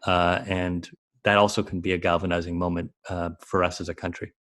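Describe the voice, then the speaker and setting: Low-voiced man, halting and reflective. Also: little pitch movement, fairly bright tone. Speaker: expert panelist on a conference call. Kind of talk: unscripted advisory commentary.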